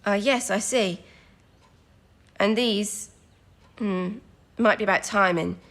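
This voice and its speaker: An actress playing a young wartime codebreaker in an audio drama, earnest, modest and a little anxious. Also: female voice, mid-range voice, slow, fairly bright tone. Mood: modest, thoughtful. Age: young adult.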